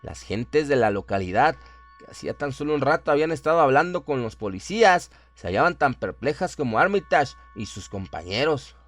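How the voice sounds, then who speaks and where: A man's voice: mid-range voice, very animated, a little fast, faint music behind. A man narrating a scripted horror story in a solemn, dramatic storytelling manner.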